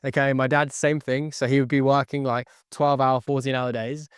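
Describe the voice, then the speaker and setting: Earnest man, smooth dry studio voice. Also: medium pitch, flat delivery, moderate pace, no echo. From born near London, raised in the Philippines. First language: Tagalog. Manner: earnest, repeats phrases, adds "okay" often. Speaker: guest, sales entrepreneur. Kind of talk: podcast interview.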